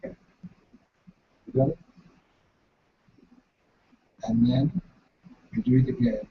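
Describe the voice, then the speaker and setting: A man with a big voice, teaching a ritual step by step in a lively way and switching between French and English as he explains. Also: mid-range voice, slow pace, gravelly, phone-quality sound. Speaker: meditation teacher.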